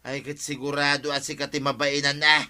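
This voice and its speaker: A man's voice: a little high, little pitch movement, a little fast, pushed and bright, smooth. A man playing a brazen village man in a comedy drama, speaking with a Filipino accent. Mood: dismissive. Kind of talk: Ilocano comedy radio-drama acting.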